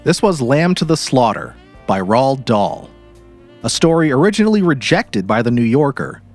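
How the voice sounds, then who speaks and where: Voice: mid-range voice, big pitch swings, moderate pace, a little mellow, faint music behind. A narrator giving a dramatic audiobook reading of a story.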